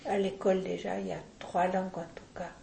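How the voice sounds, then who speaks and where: Gravelly older woman. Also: fairly low pitch, little pitch movement, dark tone, roomy sound, a little hiss or hum. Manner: deliberate, opinionated, frequent restarts. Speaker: interviewee. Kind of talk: casual reminiscing interview.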